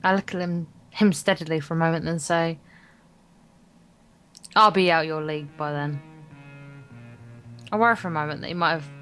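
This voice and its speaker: Woman, slightly low voice, informal read-aloud of a visual novel, small stumbles, faint guitar music behind. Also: steady tone, moderate pace, pauses often, fairly bright tone. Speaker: guest co-player reading the narration aloud. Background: game's guitar music.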